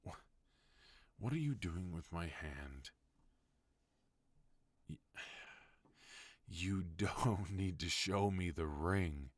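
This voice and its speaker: Casting: a man as a stern but tender mafia boss husband, very deep voice, dry close mic. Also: animated, drawn-out words, long pauses, dry recording, full character voice. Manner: low, firm, soft-spoken pleading. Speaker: voice actor. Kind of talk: ASMR roleplay.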